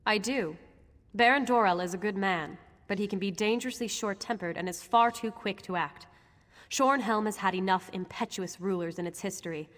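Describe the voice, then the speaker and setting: An actress playing a poised, earnest young countess in a fantasy video game, speaking in a formal, measured way. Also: female voice, medium pitch, a bit bright, lightly acted. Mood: earnest, concerned. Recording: echoing hall-like reverb.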